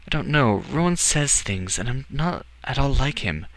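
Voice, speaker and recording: A man's voice: medium pitch, animated, normal speed, fairly bright tone, no room sound, pitched above the performer's own voice. A man voicing an anxious, earnest boy, hesitant yet defiant, in a storybook reading. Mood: hesitant, hurt. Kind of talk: audiobook character acting.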